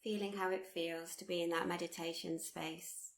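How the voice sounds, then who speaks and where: Even-toned woman guiding a meditation. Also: on the low side. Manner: soothing, unhurried, gently encouraging with repeated phrases. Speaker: meditation teacher leading a body scan. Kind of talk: guided meditation. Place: live workshop.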